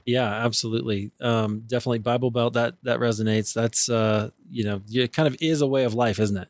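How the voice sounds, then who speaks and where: A man from Iowa hosting a podcast interview in a friendly, relaxed way with plenty of ums. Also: medium pitch, a little fast, dry recording. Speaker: podcast host.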